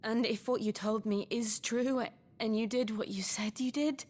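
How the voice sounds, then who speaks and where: An actress playing a gentle but resolute young noblewoman in a video game, soft and sincere in manner. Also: a little high, fairly even intonation, normal speed, natural voice. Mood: earnest, determined. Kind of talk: video game cutscene acting.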